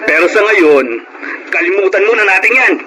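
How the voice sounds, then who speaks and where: A man playing a domineering, hot-tempered Filipino husband in a radio drama, with a gruff, bullying manner. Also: sits high, lively intonation, moderate pace. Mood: casual, boastful. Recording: noisy radio drama recording.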